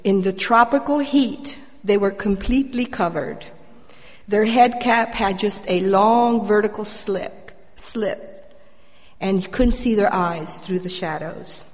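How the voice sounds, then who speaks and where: A woman's voice: medium pitch, slow pace. Older woman speaking in a reverberant church. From Colombia. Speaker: church member giving a personal testimony. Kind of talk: prepared personal story read to a congregation. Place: church, addressing congregation through a microphone. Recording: reverberant hall.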